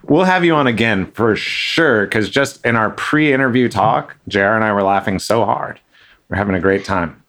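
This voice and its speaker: A man's voice: lowish voice, expressive, normal speed, a bit bright. A man hosting a podcast, introducing a guest and chatting in a friendly way, with many fillers and restarts. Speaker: podcast host. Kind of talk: casual interview chat.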